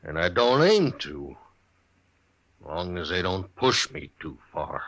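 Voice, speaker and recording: A man's voice: low-pitched, sing-song, a bit bright, highs cut off, heavily acted. An actor playing a big, plain-spoken American mountain man, a protective husband, in a western radio drama. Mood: guarded, weary. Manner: plain-spoken, folksy, deliberate.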